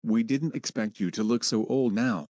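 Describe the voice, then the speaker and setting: A man's voice: mid-range voice, normal speed, darkish tone, no echo, natural voice. A man voicing a puzzled, questioning member of a group of friends in an adult cartoon.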